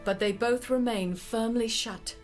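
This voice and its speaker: A woman narrating a scripted story in a measured way, addressing the listener directly. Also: a little high, fairly even intonation, faint music behind.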